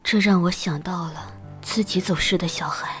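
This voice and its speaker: Woman doing shy sad ghost mom, soft breathy anime drama voice, light music behind. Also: fairly low pitch, steady tone, normal speed, lightly acted. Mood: wistful. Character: timid, soft-spoken, sorrowful mother ghost. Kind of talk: Chinese animated drama voice acting.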